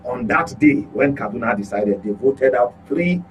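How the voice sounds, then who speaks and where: A man's voice: mid-range voice, animated, moderate pace, slight background noise, low-quality recording. A Nigerian man speaking as a guest commentator in a political discussion, emphatic and repeating phrases for stress.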